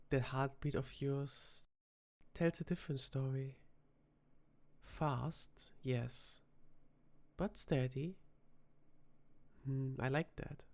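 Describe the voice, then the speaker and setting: A performer voicing a flirty, teasing vampire tavern bartender in a soft-spoken ASMR roleplay, with dramatic pauses. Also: medium pitch, fairly even intonation. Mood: teasing, intrigued.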